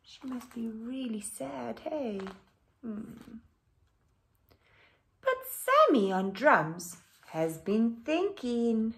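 Female teacher, middle-aged, picture-book read-aloud at home; higher voice, lively intonation, chatty asides to pupils. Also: very slow. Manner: expressive, exaggerated, with questions to listeners. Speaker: teacher reading to her class. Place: living room at home.

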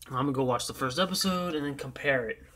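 A young man with a hoarse voice he is losing, rambling in a tired, casual way as he talks over a racing game. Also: medium pitch, fast talker, background noise. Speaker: gaming channel host.

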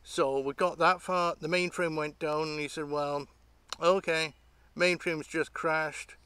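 A man, talking casually and haltingly to camera outdoors, rambling as he thinks aloud. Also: on the high side, fairly even intonation, sharp tone, clean tone, no echo. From Great Britain. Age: 50s. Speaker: hobbyist vlogger. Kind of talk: casual unscripted talk to camera. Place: outdoors among trees.

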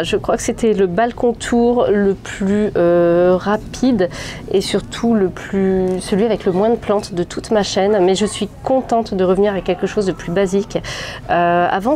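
A woman's voice: medium pitch, dark tone, slight background noise. Chatty woman with a head cold. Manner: chatty, rambling with many fillers and restarts. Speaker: gardening vlogger. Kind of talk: casual plant tour vlog. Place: small sunny balcony outdoors. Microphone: phone held at arm's length.

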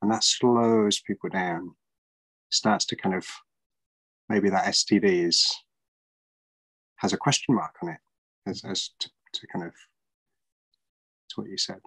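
A man's voice: sits low, fairly even intonation, unhurried, long pauses, fairly bright tone, slightly rough, no room sound, rough recording. A middle-aged man hosting a podcast over a video call, speaking thoughtfully with many fillers and restarts. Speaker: podcast host. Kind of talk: casual interview chat. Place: small room at home.

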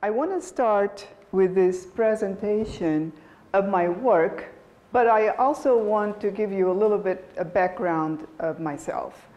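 A woman from Portugal, a native Portuguese speaker, giving an unhurried talk about her background as an artist and professor, pausing and restarting now and then. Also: mid-range voice, dark tone, lo-fi sound. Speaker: guest artist and art professor.

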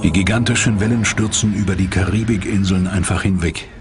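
A man's voice: low-pitched, fairly even intonation, brisk. A man reading a scripted documentary narration as its narrator. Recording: loud music under voice. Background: loud music.

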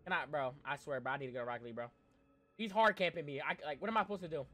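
A man's voice: on the high side, racing, a bit bright. A young man live-streaming a game in casual slang, repeating himself in exasperated pleas, his voice strained as if he is losing it. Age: young adult. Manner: slangy, repetitive, exasperated pleading. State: strained voice, losing it, frequent throat clearing. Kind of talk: casual live horror-game stream, reacting and talking to chat.

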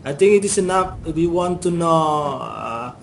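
A man's voice: on the high side, animated, moderate pace, gravelly, a little hiss or hum. A man from Indonesia, a university lecturer, introduces himself in careful, formal learner's English on a video call. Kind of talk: self-introduction.

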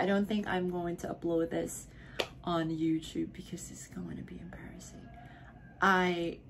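A woman's voice: on the low side, creaky, noisy background. A young woman, drunk, answering viewer questions in a casual home vlog, slurring, giggling and slipping into whispers. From Philippines. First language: Filipino (Tagalog). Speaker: vlogger. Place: bedroom at home, indoors.

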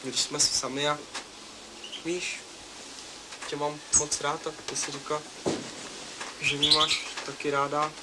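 An actor playing a shy young man who talks hesitantly and softly to his girlfriend. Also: on the high side, monotone, pauses often, very noisy, lightly acted. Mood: shy tender. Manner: hesitant, mumbling, soft.

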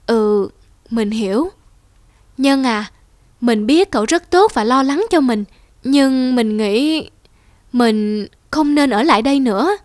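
A woman's voice: a little high, animated, takes it slowly, pauses often, darkish tone, no room sound, studio quality. A woman voicing a modest young male teacher in a cartoon folk tale, speaking sincerely and apologetically. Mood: apologetic. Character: modest, self-respecting young male teacher, gentle friend. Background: music.

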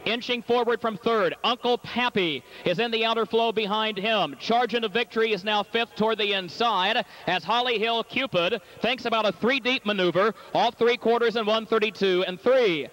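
A man's voice: high-pitched, poor audio. A man working as track announcer, reading the entries and calling a harness race at a fair track. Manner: rapid, rising excitement in the stretch call. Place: racetrack announcer's booth at a fair.

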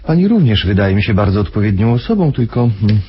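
An actor playing a grave, smoothly persuasive husband who speaks haltingly with weighty pauses in a radio play. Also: male voice, lowish voice, big pitch swings, dull audio. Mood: grave, measured.

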